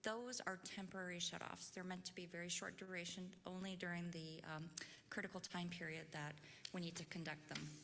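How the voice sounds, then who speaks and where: A soft-spoken woman from a utility explaining preparedness at a public forum in an orderly way. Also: on the low side, fairly even intonation, brisk, gravelly. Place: large meeting hall. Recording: reverberant hall with faint noise.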